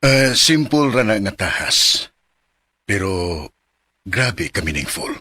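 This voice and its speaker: A kindly parish priest who gently encourages a reluctant man and speaks unhurriedly, as a man acts him out. His voice is very low and husky, yet bright in tone with an animated lilt in this radio play. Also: slow pace, full character voice. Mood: encouraging. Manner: gentle, encouraging, mixes in English. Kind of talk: radio drama acting.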